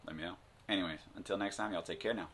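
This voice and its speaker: Deep-voiced man. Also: rapid-fire, fairly bright tone, a little hiss or hum. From United States. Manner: rambling, many fillers and restarts. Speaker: language-learning channel host. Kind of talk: casual talk to camera.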